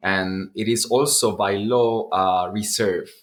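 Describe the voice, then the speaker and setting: A man's voice: on the low side, steady tone, takes it slowly. A man speaking conversationally as a guest in an interview.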